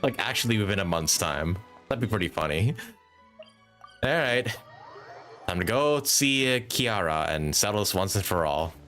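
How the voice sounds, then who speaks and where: A man's voice: fairly low pitch, lively intonation, normal speed, fairly bright tone. A man live streaming a game with informal, chuckling commentary and some swearing. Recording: game music behind.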